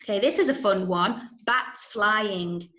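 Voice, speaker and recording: A woman's voice: mid-range voice, unhurried, sharp tone. A woman from Manchester with an English accent teaches an online workshop for schoolchildren, encouraging them and often checking in with short tag questions. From Manchester, England. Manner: encouraging, frequent checking "yeah?" and "okay?". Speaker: workshop teacher of radio drama. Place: indoor room, online video call. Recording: roomy, echoing online-call sound.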